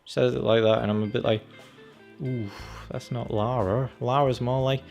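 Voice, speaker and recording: A man's voice: mid-range voice, steady tone, moderate pace, creaky, darkish tone, lo-fi sound, soft background music. A man giving a casual, chatty trailer review as a channel host, acting out his reactions as he goes. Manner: chatty, acts out reactions and quotes.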